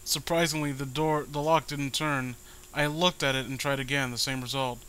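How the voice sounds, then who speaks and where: A man's voice: medium pitch, fairly even intonation, normal speed, a bit bright, clean tone, low-quality recording. A man reading a horror story aloud with dramatic delivery and sarcastic commentary. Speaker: host reading amateur horror stories.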